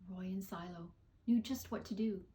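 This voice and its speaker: A woman's voice: mid-range voice, normal speed, warm tone. A woman on a school staff reading a children's picture book aloud. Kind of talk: children's storybook read-aloud.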